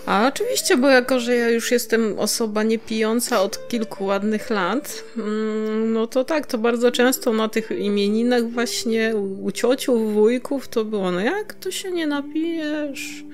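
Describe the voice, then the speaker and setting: A woman's voice: a little high, a little slow, hardly any pauses, soft background music. A woman chatting casually and reminiscing as a podcast co-host, giggly and a little hesitant, letting sentences trail off.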